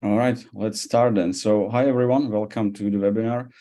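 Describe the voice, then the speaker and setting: A man with a Central European accent gives an expert webinar presentation. His voice sits fairly low and has a very mellow, dark tone. He moves through his points haltingly, hesitating often. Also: fairly even intonation. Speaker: cybersecurity expert giving a presentation.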